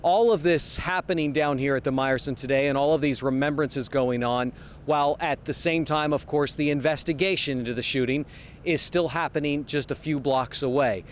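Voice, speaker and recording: A man reporting live as a television news field reporter from outdoors on a city street. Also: normal speed. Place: outdoors on a city street outside an event venue. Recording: outdoor street noise, traffic rumble.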